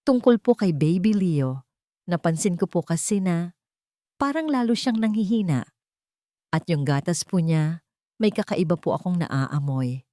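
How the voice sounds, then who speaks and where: A woman voicing a timid, worried young housemaid in an audio story, polite and gentle. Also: fairly low pitch, lively intonation, unhurried, long pauses, no echo, clean studio sound. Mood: worried, deferential.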